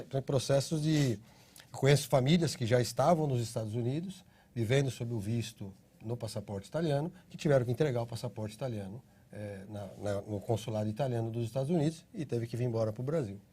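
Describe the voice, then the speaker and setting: Mellow husky Brazilian man explaining. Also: mid-range voice. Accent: Brazilian Portuguese. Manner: steady explaining, frequent tag questions. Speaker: interview guest, citizenship consultancy CEO. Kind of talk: explanatory interview answers.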